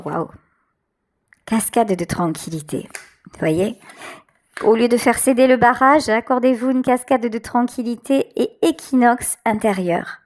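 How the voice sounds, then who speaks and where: A woman with a high voice gives a tarot reading, speaking directly to her viewers. Her pace is very slow, with frequent pauses as she searches for the right words. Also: a little mellow. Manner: hesitant, searching for words, pausing often. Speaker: tarot reader, channel host. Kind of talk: intuitive tarot card reading addressed to viewers.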